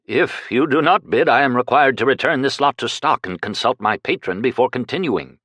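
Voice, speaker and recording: A man voicing a nervous, fawning auctioneer who pleads and chants bids in a higher voice. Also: animated, normal speed, a bit bright, dry recording, clean studio sound. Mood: pleading. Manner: pleading, wheedling, sing-song chanting.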